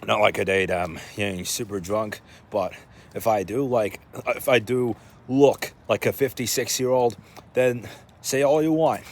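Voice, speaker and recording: A man's voice: lowish voice, unhurried. A man vlogging casually, rambling and joking as he talks half to himself and half to his viewers. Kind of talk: casual rambling vlog to camera.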